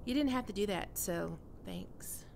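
A woman voicing a prickly, flustered young catgirl roommate in an intimate ASMR roleplay, halting and defensive but secretly soft. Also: mid-range voice, expressive, vocal fry, noisy background. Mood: hesitant, tender.

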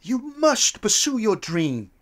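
An actor playing a calm older mentor who gently encourages a young woman to chase her dream. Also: high-pitched, slow pace, exaggerated voice. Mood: encouraging.

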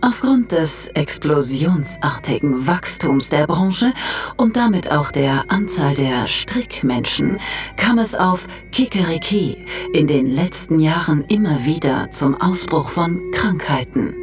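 A woman playing the matter-of-fact narrator of a cosmic encyclopedia, reading measured mock-documentary narration. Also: low-pitched, expressive, over music. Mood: informative, measured. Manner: measured, informative.

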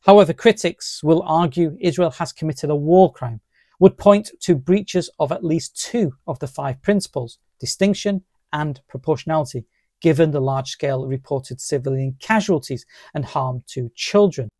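A middle-aged man delivers scripted explanatory narration. His tone is mellow and his manner is formal and deliberate, with emphasis on key terms. The sound is close and dry, with very little room in it. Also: on the high side, dry recording. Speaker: presenter of legal explainer.

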